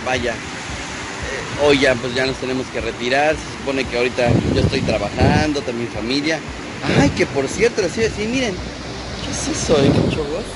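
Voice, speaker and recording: A young Mexican-accented man chats casually into a handheld phone. Loud wind rumbles over his voice on an open beach. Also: moderate pace. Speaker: vlogger. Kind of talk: casual chat to camera. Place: outdoors on an open windy beach. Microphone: handheld phone at arm's length.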